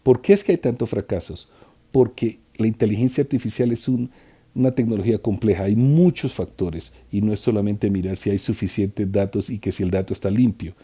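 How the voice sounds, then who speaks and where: Dark husky-voiced male interpreter. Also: on the low side. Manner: halting, with restarts and trailing phrases. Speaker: simultaneous conference interpreter. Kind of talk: live interpretation of a conference talk.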